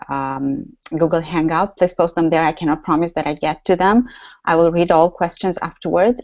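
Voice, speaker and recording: A woman's voice: deep voice, a little mellow, no echo, dull audio. A woman with a Romanian accent hosting a live online workshop, chatty and full of fillers and restarts. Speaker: workshop host. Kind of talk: live webinar teaching.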